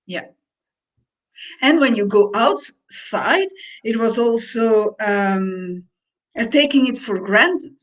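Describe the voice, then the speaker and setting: A woman whose first language is Dutch, co-hosting a reflective conversation and searching slowly for her words. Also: fairly high pitch, animated, low-quality recording. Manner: slow, searching, thoughtful phrasing. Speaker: podcast co-host.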